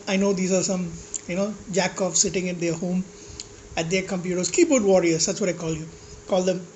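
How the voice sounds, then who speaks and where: A man with a slightly high-pitched voice, ranting casually to his vlog audience in a rambling, blunt way and swearing at critics. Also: a little mellow, slight background noise, low-quality recording. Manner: rambling, with restarts and asides. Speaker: food vlogger. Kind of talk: casual rant to camera while eating.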